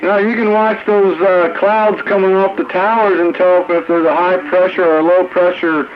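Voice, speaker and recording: High-pitched man on noisy, muffled radio. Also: level tone, moderate pace. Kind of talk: casual explaining in radio chat.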